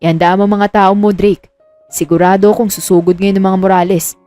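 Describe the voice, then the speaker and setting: A woman's voice: medium pitch, steady tone, brisk, warm tone, soft background music, natural voice. A woman playing a worried, loyal male sidekick, serious and watchful, in a romance audio drama. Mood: serious, wary.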